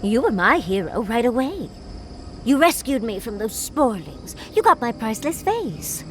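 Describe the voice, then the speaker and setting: A woman's voice: fairly high pitch, big pitch swings, moderate pace, a little hiss or hum. A woman voicing a playful, vain adventurer in a video game, theatrical and teasing. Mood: playful, gushing. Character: playful, flirty, vain female adventurer companion.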